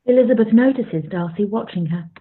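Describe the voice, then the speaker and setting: A woman's voice: mid-range voice, brisk, husky, lightly acted. A woman narrating a scripted period radio play as a neutral storyteller, on a tinny recording.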